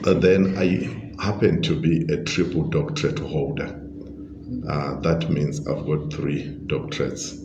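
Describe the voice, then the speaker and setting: Very deep, slow Zimbabwean man. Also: steady tone, background noise. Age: middle-aged. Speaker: podcast guest, leadership coach and pastor. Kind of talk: conversational interview answers. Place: restaurant. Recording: dull, roomy, echoing sound.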